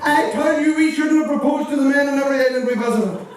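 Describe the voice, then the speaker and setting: An actor with an Irish accent playing an excitable, gossipy comic sidekick in a broad stage pantomime. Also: male voice, very high voice, fast talker, faint music behind. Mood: alarmed, gossipy. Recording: echoey hall, distant mic, noisy.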